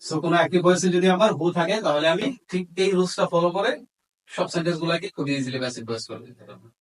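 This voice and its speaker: Higher-voiced Bangladeshi man teaching grammar. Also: rapid-fire, poor audio. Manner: mixes Bengali with English terms, dictates piece by piece. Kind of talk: instructional lesson, explaining step by step.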